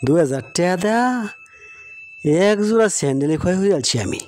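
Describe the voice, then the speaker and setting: Man acting stingy, brusque high-voiced villager. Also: expressive, fast talker, a little mellow. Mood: scornful. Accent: rural Bangladeshi Bengali. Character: stingy, dismissive village man refusing to pay. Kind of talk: amateur cartoon moral-story acting.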